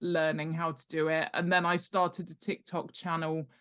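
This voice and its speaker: A woman's voice: sits low, level tone, moderate pace, fairly bright tone, clean tone. A woman chatting casually as an interview guest, talking in a humorous, self-deprecating way about herself and her work. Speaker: guest author and world-building expert.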